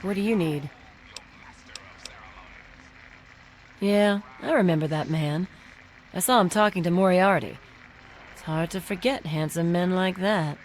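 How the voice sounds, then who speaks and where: A woman plays a jaded, sassy saloon gal in a video game. Her voice is on the low side. She is blunt and familiar with a flirty, tired edge, over slight background noise. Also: moderate pace, natural voice. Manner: world-weary, blunt, familiar. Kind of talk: video game character dialogue.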